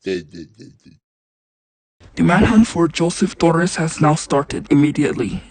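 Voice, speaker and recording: The voice is husky and unclear in tone. It works as the announcer of a fictional emergency alert, reading out scripted warnings and bulletins. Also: mid-range voice, big pitch swings, slow pace, a little mellow.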